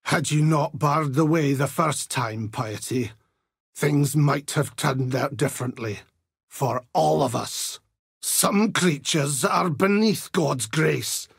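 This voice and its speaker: An actor playing a zealous, grim older Templar holy warrior in a video game, with a gravelly, raspy voice and solemn, righteous delivery. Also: male voice, fairly high pitch, slow pace, no echo, clean studio sound, heavily acted. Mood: solemn resolve. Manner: solemn, pious, righteous oratory. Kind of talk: video game character voice lines.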